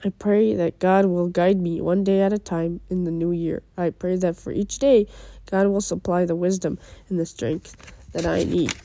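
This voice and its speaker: A young woman with a stuffy cold, speaking in an earnest, halting way. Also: fairly low pitch, fairly even intonation, vocal fry, warm tone, no echo. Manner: earnest, halting, with fillers and restarts. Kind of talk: personal reflection and poetry reading.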